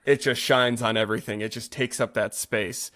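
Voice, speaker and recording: The man you'd hear co-hosting a laid-back yoga podcast, earnestly talking through how he teaches, at a middling pitch. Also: fairly even intonation, normal speed, fairly bright tone. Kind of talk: casual conversational podcast chat.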